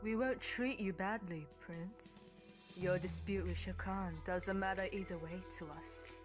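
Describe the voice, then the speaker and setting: A woman playing a calm, coaxing officer. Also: medium pitch, a little slow, lightly acted. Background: music.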